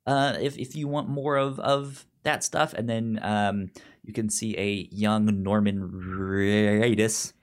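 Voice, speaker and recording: A man co-hosting a film podcast, chatting casually and haltingly as he searches for words. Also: lowish voice, fairly even intonation. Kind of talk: casual film discussion and recommendations.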